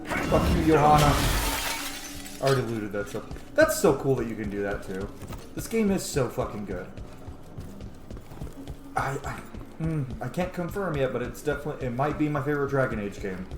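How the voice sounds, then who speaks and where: A man's voice: mid-range voice, animated, moderate pace, long pauses, music in the background. Man in an echoey room, gaming commentary. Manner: rambling, opinionated, frequent swearing. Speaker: let's play host. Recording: echoey room, noisy game ambience. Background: video game ambience.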